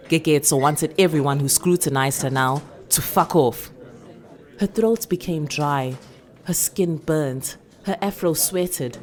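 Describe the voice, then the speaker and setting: A woman's voice: deep voice, a little mellow. A woman with a South African accent reading a short story as dramatic literary narration.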